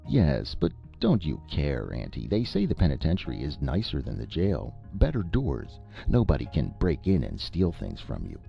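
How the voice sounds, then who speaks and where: A man's voice: extremely low pitch, wildly swooping pitch, normal speed, creaky, few pauses, heavily acted. A man voicing a cheerful, cheeky young newspaperman who talks in a breezy, teasing way in a storybook reading. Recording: lo-fi with faint music.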